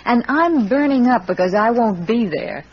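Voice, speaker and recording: A woman's voice: on the high side, animated, normal speed, breathy edge. A woman playing a cool, inquisitive young lady in a 1940s radio crime drama, on a muffled old recording. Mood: curious.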